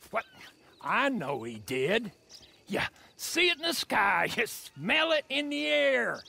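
An actor playing a rambling, philosophical old trapper with a gravelly, folksy drawl. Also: male voice, very high-pitched, sharp tone, exaggerated voice. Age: older.

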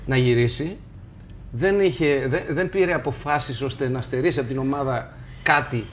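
A man's voice: mid-range voice, a little hiss or hum. A man speaking as a football pundit on a studio panel, building his points with repeated restarts.

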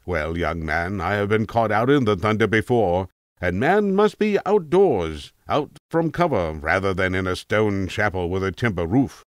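A man's voice: expressive, moderate pace, no echo, clean studio sound, natural voice. Man playing a posh, testy elderly tramp. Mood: chatty, knowing. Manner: highly cultured, posh, politely indignant. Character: elderly cultured tramp, testy, chatty, eccentric gentleman.

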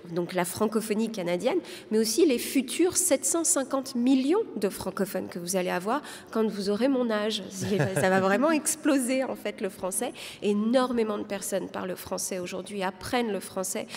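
A woman in her thirties making her case as a panelist in a televised debate, speaking into a desk microphone, articulate but pausing often to find her words. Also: mid-range voice, darkish tone. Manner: articulate, persuasive, frequent hesitations. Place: large studio hall with audience. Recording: long reverb of a large hall.

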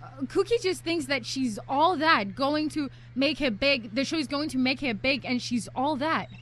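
High-voiced young good girl played by a woman. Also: expressive, moderate pace, fairly bright tone. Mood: annoyed. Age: young adult. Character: easygoing young goody-two-shoes woman on reality show. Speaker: student radio actor. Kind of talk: live student radio comedy acting. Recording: live radio studio, faint background noise.

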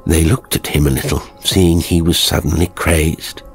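A man's voice: very deep voice, steady tone, normal speed, soft background music. A man narrating a horror story in a grave, theatrical, dramatic scripted style.

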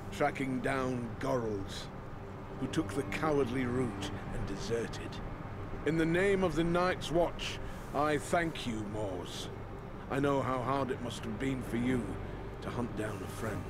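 An actor playing a stern old lord commander, giving a solemn, deliberate speech to his sworn brothers in a fantasy game. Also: medium pitch, background noise, close to an everyday voice. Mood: grave. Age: older. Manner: grave, deliberate, oratorical. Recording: game audio with clear background ambience.

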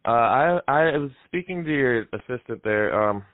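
A young man making a prank phone call in a deadpan, hesitant, rambling way. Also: mid-range voice, moderate pace, no echo. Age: young adult. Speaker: prank caller and show host. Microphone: headset microphone. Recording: dull, band-limited call audio.

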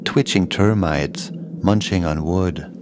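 A man's voice: sits low, slow pace, background noise. A man narrating a scripted first-person horror story as a podcast host.

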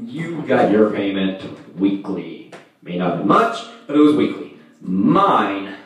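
A young man doing a casual amateur stand-up routine in a halting, rambling, self-deprecating and profane way. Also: mid-range voice. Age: young adult. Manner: profane, vulgar. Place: indoors, small home room. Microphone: distant camera, handheld microphone in view. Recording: echoey room, far from camera.

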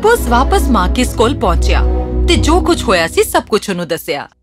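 Lively high-voiced woman storyteller. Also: normal speed. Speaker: narrator. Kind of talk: scripted children's storytelling narration. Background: loud music.

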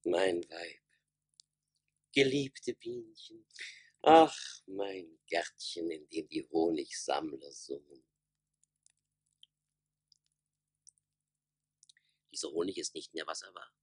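An actor playing a doting, lamenting village beekeeper in a radio play, plaintive and declamatory. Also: male voice, low-pitched, sing-song, slow pace, creaky, darkish tone, slightly rough, heavily acted. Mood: wistful, lamenting. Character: lamenting villager doting on his bees and beer. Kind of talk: theatrical radio play acting.

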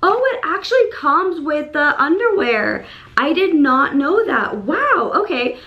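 A woman in her thirties with a hoarse voice, chatting casually to camera in her bedroom as she unboxes and shows off dresses, gushing and full of fillers. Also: high voice, very animated, measured pace, pushed and bright, highs cut off. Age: 30s. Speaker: beauty and fashion YouTuber. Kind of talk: casual try-on haul chat. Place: bedroom indoors.

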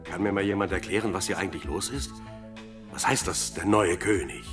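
An actor playing a plain-spoken, impatient young shepherd in a Bible audio drama. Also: male voice, deep voice, a little fast, over music, natural voice. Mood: bewildered. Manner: questioning, exclamatory.

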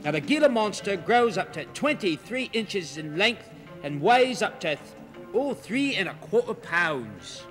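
A man's voice: high voice, very animated, a little slow, fairly bright tone, faint music behind, full character voice. An actor playing a jolly, chatty male zoo host who gushes to the audience and coos at the animals. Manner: chummy, gushing, talks to the audience and animals. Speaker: zoo show host character. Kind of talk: comedy sketch acting.

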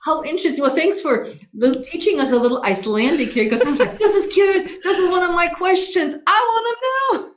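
A woman in her fifties hosting a podcast over a video call, enthusiastic and quick to laugh. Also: extremely high pitch, lively intonation, echoey room. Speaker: podcast host. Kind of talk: casual interview chat. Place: small home office.

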